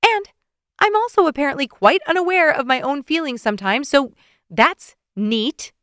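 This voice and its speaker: Lady reading doing a teary flustered young woman, high-ish and strained, dry close audio. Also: expressive, normal speed, a bit bright, dry recording. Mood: upset. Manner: emotional, tearful outbursts.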